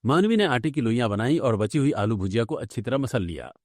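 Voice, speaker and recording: A man's voice: mid-range voice, very animated, a little fast, darkish tone. A man reading scripted narration for a family cartoon story.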